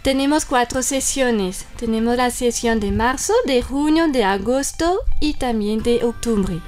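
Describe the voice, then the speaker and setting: French-accented woman, a bit high-pitched. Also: measured pace, darkish tone, soft background music. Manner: speaks in long explanations with fillers like "mira" and "entonces". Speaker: guest from a French cultural institute. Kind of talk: interview answers.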